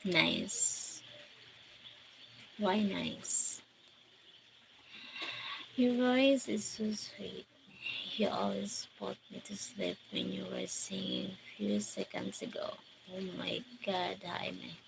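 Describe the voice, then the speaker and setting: A woman in her forties from the Philippines, hosting a casual livestream and slowly greeting viewers by name as she answers their comments. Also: medium pitch, steady tone, gravelly, noisy background. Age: 40s. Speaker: livestream host. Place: countryside outdoors at night.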